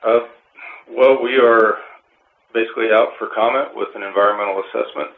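A man giving measured, formal explanations in an interview as a park resource official, with hesitant phrasing, on a narrow phone-like line. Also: fairly low pitch, fairly even intonation, gravelly.

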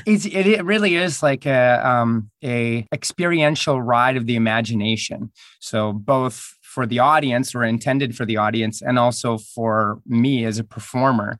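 A man's voice: mid-range voice, a bit bright, dry recording, clean studio sound. A man speaking as an interviewed actor, thoughtfully explaining his craft in a reflective conversation. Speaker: voice actor interviewed about playing roles in an audio drama.

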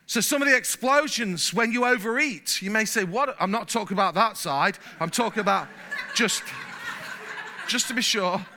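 A man from England preaching a sermon in church, animated, repeating words and getting louder as he warms up. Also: sits high, moderate pace. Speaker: pastor. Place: church hall.

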